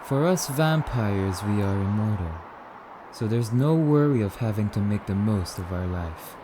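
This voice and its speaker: A man playing a suave, courtly immortal vampire in an ASMR roleplay, speaking in formal, theatrical, rambling musings with drawn-out phrases. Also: lowish voice, animated, background noise. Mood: philosophical, musing.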